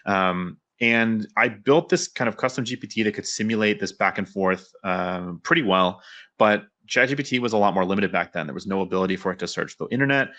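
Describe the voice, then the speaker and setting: Fast-talking low-voiced man presenting. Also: a bit bright. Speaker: conference speaker, developer and pilot. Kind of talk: technical conference presentation, conversational explaining.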